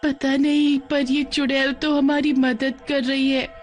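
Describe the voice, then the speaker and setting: A sweet young girl from a cartoon story played by a woman, pitched high and childlike. She sounds polite and trusting, with music playing behind her. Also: little pitch movement, a little slow. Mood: amazed. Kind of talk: Hindi cartoon story dubbing.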